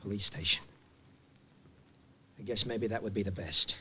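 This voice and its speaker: A man's voice: on the low side, steady tone, normal speed, bright, forceful tone. An actor playing a panicky young man at the end of his rope in an old radio drama, pleading. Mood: desperate. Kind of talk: 1950s radio drama acting. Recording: old radio broadcast, faint hiss.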